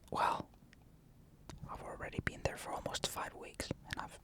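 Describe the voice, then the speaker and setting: A young man from Finland with a Finnish accent, answering comments in a casual, matter-of-fact ASMR whisper. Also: a little slow. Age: young adult. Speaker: ASMR creator. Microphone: USB condenser mic.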